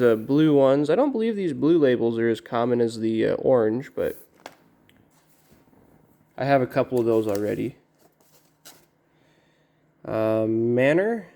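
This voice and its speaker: A man giving casual, halting unboxing commentary as a collector, musing to himself in a low-key way. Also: dark tone. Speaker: record collector presenting an unboxing.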